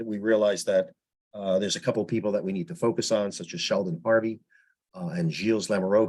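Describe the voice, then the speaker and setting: A man giving an informal report on a teleconference as a public relations volunteer, explaining things in a halting, meandering way. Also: fairly low pitch, slightly rough. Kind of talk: informal spoken report in online meeting. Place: teleconference call.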